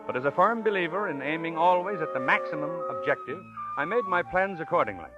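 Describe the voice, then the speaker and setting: An actor playing a smooth-talking con man who poses as a learned professor, pompous and wordy, in 1940s radio drama style. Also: sits high, huge pitch swings, quick pace, over loud music. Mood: sly, self-satisfied. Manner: pompous, wordy, theatrical delivery. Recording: muffled old radio broadcast.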